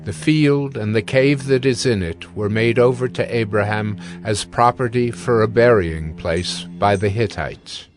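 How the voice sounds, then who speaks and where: A man narrating a dramatized scripture reading in a measured, formal, scripted style. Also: lowish voice, music in the background.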